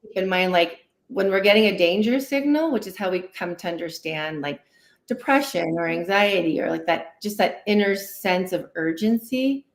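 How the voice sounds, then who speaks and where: A woman's voice: medium pitch, normal speed. Woman explaining conversationally in an echoey room. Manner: animated, acts out inner dialogue, often tags sentences with "right?". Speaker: guest therapist, licensed clinical social worker. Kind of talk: conversational explaining in a livestream interview. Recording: roomy, echoey sound.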